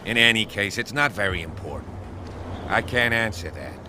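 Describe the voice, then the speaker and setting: Man playing a brusque, lively-pitched policeman. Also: moderate pace, lightly acted. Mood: dismissive. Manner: curt, dismissive. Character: brusque, harried male police officer. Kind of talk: video game voice acting. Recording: noisy outdoor vehicle ambience.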